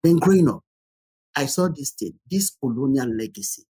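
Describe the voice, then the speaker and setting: A middle-aged man with an African accent talks in a husky, rough-edged voice. He argues his points emphatically in conversation, repeating phrases to drive them home. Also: mid-range voice, expressive, normal speed, mellow. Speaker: panel discussion participant. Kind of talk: opinionated conversational discussion.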